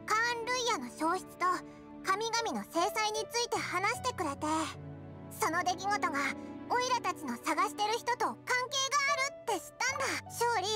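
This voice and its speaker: A woman voicing a tiny, childlike fairy companion in a video game, earnest and blunt, with a squeaky voice and rough, boyish wording. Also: expressive, normal speed. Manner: rough, boyish casual speech. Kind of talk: video game character dialogue. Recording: music behind the voice.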